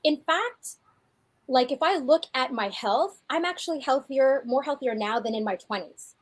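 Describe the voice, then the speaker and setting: A woman in her forties with a high, light voice talks as a fitness coach on a podcast. Her delivery is chatty and animated, full of quick restarts. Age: 40s. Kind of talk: casual podcast chat.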